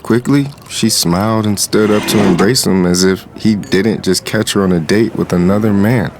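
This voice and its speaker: Actor playing young male hustler, lowish voice, cocky, sly, casual, streetwise, audio drama narration. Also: normal speed, noisy background, lightly acted. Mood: smug, amused. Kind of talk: first-person dramatized story narration.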